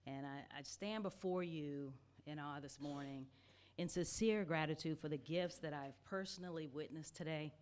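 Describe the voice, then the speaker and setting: A woman's voice: sits low, moderate pace, darkish tone. A woman giving an earnest, grateful, formal address to a church congregation as its treasurer. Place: church service before a congregation.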